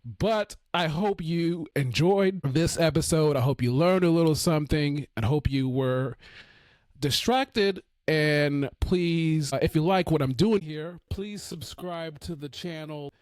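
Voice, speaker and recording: A man hosting his own podcast, reviewing a film in a relaxed, rambling way full of fillers and restarts, with his voice going hoarse. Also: on the high side, measured pace, dry recording, studio quality. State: losing his voice. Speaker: podcast host. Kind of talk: casual solo film review.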